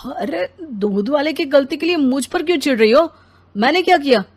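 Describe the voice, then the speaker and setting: Fairly high voice, woman acting grumpy demanding husband, complaining, dismissive, cartoon family story. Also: animated, normal speed. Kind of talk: animated Hindi family story dialogue.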